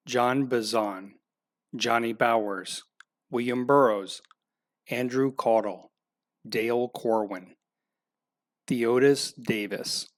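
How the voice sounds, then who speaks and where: A man reading out a list of names formally for a memorial roll call. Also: medium pitch.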